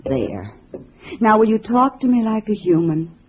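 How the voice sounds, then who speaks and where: Low-voiced, seductive female communist lure. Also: big pitch swings, a little slow, slightly rough. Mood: coaxing, flirtatious. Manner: gushing, coquettish. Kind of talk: 1950s radio drama acting. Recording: muffled vintage radio recording, faint hiss.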